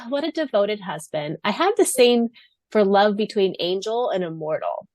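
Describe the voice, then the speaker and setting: A woman's voice: medium pitch, animated, measured pace, no echo. A woman co-hosting a fan podcast, chatting casually and reading aloud. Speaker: podcast co-host.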